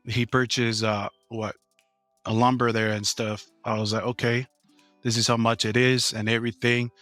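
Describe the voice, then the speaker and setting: A man in his thirties from American Samoa talks through his work in a loose, halting way, filling gaps as he goes. His voice is bright and forward, recorded close and dry with faint music underneath. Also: medium pitch, dry recording. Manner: relaxed, halting, many fillers. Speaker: business owner guest. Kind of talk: casual interview answers.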